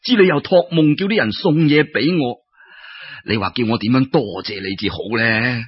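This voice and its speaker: A man voicing a kindly, sentimental old fisherman who speaks in slow, sighing, drawn-out phrases. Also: medium pitch, husky, no room sound, close to an everyday voice. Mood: earnest, devoted. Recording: dull lo-fi radio-style recording.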